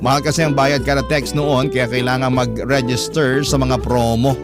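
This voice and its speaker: A man with a Filipino accent playing someone who reminisces about his youth, in measured first-person radio drama narration. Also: medium pitch, level tone, lightly acted. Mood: nostalgic. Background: clear guitar music.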